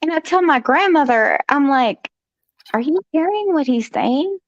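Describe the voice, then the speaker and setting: A woman's voice: sits high, lively intonation, a little slow, no room sound. A woman hosting a live stream, telling a personal story in a chatty, joking way, with frequent "okay" asides. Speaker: host. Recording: dull lo-fi stream audio.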